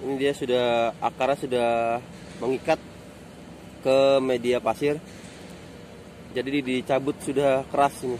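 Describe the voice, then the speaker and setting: A man's voice: mid-range voice, unhurried, long pauses, a little hiss or hum. Man filming a stadium site walk. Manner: relaxed, pointing things out as he walks. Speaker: vlogger giving a construction site tour. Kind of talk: casual walk-and-talk commentary. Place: outdoors on a stadium pitch under construction. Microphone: phone camera held low while walking.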